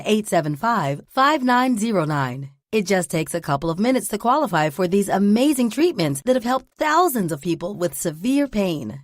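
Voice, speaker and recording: A woman's voice: medium pitch, no room sound, clean studio sound. A woman announcer reading a scripted radio commercial. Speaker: advertisement announcer.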